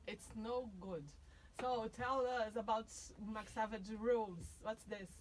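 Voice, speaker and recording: A woman with a Brazilian accent hosting a radio chat in a studio. Her voice sits fairly high, and she is playful and giggly, sometimes searching for words. A faint hiss of noise runs underneath her. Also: steady tone, a little slow, poor audio. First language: Portuguese. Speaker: radio host. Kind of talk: casual radio interview.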